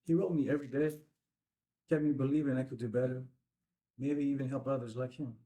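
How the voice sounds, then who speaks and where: An actor playing a rueful ex-convict biker in a script table read, colloquial and plain-spoken. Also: male voice, mid-range voice, fairly even intonation, a little fast, warm tone, close to an everyday voice, poor audio. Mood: reflective, wry. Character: rueful male ex-convict biker recalling an old friend. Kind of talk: filmed screenplay table read.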